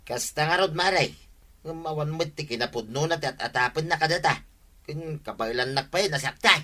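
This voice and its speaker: A hot-headed village husband with an Ilocano accent in a radio comedy. The voice is fairly high for a man and very sharp, always insisting on his complaint. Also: fairly quick. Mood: aggrieved, insistent.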